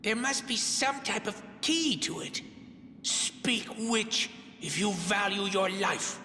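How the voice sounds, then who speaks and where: Stern interrogator, menacing, fantasy game, bright forceful voice, long echo, slight noise. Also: female voice, medium pitch, lively intonation, slow pace, faint music behind. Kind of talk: dramatic fantasy game voice acting. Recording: long echoing hall reverb, faint noise.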